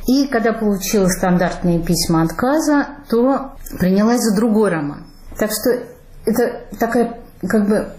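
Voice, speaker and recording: A middle-aged woman novelist answering interview questions in a thoughtful, measured way. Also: mid-range voice. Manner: thoughtful, measured, with soft laughs. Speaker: novelist guest. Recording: roomy studio sound.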